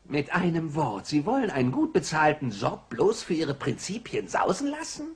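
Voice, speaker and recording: Raspy, scheming old tycoon. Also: male voice, a little high, huge pitch swings, full character voice. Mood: smug. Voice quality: raspy, husky. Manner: pompous, drawn-out, commanding. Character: frail old male power-plant tycoon, sly and imperious. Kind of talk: cartoon audio play acting.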